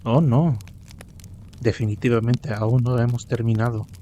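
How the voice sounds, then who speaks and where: A man acting as a dominant, cocky mafia boss in an intimate audio roleplay, smooth-talking, teasing and possessive. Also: medium pitch, steady tone, moderate pace, mellow, slight background noise, close to an everyday voice. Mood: confident, plotting.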